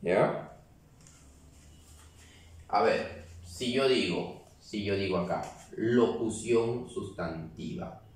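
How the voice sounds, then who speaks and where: A man's voice: on the low side, extremely slow, pauses often. A man teaching a grammar class, explaining step by step and checking in with the students. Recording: very echoey room, mic far off.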